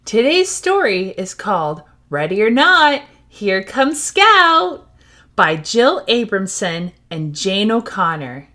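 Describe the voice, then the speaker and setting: A woman hosting a read-aloud for young children, speaking in an animated, child-directed way. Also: medium pitch, slow pace.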